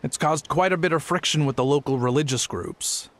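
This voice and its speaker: A man's voice: on the high side, fairly quick, noisy background, close to an everyday voice. An actor playing a polite, earnest travelling merchant and family man in a video game. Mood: earnest, explaining.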